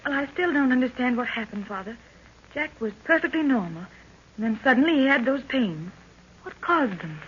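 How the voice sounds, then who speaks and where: A woman's voice: sits high, animated, moderate pace. An actress playing a tender, concerned young woman, a devoted daughter and sweetheart, in an old radio drama. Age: young adult. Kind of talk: 1930s radio acting. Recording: muffled, faintly noisy 1930s radio recording.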